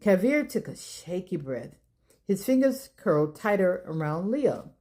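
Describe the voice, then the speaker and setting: A woman hosting a children's storytime, reading aloud in an expressive way as if talking straight to young listeners. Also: fairly low pitch, a little slow. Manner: expressive, addressing children directly. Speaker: storytime reader and host. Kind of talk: children's read-aloud narration.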